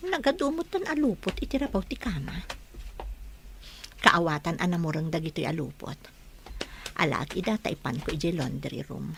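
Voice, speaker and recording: A woman's voice: fairly low pitch, expressive, normal speed, creaky, pauses often, noisy background. A woman playing a wife and mother in a radio drama. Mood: puzzled, suspicious. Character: affectionate mother and wife growing suspicious.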